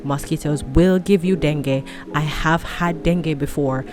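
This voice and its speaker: A woman's voice: low voice, a little slow, music in the background. A woman in her late twenties chatting casually to camera at home, rambling and self-deprecating, a fan running nearby. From tropical country. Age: 20s. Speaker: vlogger.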